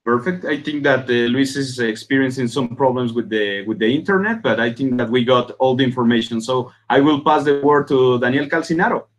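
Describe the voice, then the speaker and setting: A man hosts an online question session over a video call. He talks easily, with frequent ums and uhs, and his pitch sits in an ordinary middle range. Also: brisk, hardly any pauses. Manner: relaxed, with many fillers.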